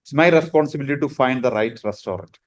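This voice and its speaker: A middle-aged man, a yoga and Vedanta teacher giving a spiritual lecture in unhurried, halting phrases with frequent pauses. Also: medium pitch.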